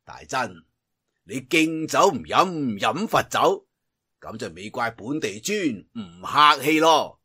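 A man's voice: mid-range voice, very animated, takes it slowly, sharp tone, breathy edge, heavily acted. A man with a Cantonese accent voicing an arrogant, mocking martial arts master in a storybook, taunting and imperious. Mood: cold menace. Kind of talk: storybook character voice.

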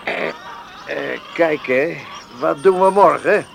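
A humble, good-natured older countryman with a gravelly voice. He speaks slowly in an old radio play, with faint noise behind him. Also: on the high side, heavily acted. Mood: easygoing, grateful. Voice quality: creaky, gravelly. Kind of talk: radio drama acting.

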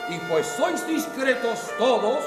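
Declamatory Spanish stage player, very high voice, distant in a reverberant hall. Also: male voice, sing-song, unhurried, heavily acted. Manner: declamatory, theatrical. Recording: echoey hall, distant, music behind.

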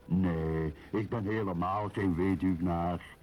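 An actor playing a brooding, lonely middle-aged husband in a radio drama, musing and questioning himself wryly. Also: male voice, sits low, steady tone, takes it slowly, a little mellow, slight background noise. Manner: musing, self-questioning, wry.

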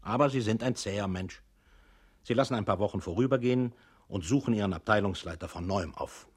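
Very deep, husky male narrator. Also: a little fast. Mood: sober recounting. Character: sober male narrator addressing a weary employee. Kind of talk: scripted radio play narration in second person.

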